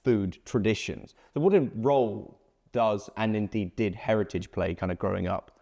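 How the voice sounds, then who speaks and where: A man hosting an interview, upbeat and enthusiastic, firing off quick questions. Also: on the low side, vocal fry, dark tone. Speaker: podcast host. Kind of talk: casual interview.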